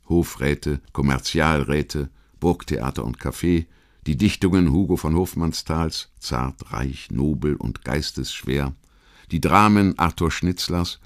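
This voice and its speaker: A man narrating a history audiobook in a measured, literary manner, reading from a script with rhetorical weight. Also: rumbling low.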